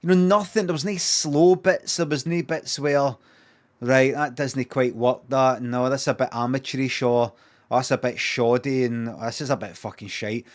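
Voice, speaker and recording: A man's voice: mid-range voice, steady tone, moderate pace. A Glaswegian Scottish man chatting casually on a livestream, rambling, swearing freely and repeating himself for emphasis.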